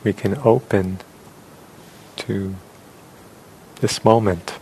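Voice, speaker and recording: Slow, low-voiced man guiding meditation. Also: steady tone, a little hiss or hum, poor audio. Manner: gentle, unhurried, with long pauses. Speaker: meditation teacher. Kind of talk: guided meditation.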